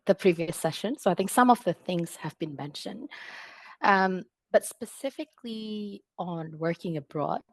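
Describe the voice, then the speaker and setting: A woman's voice: fairly low pitch, darkish tone, dry recording. A woman in her thirties from Indonesia answering on a video-call panel, talking conversationally, repeating words and often adding "right?".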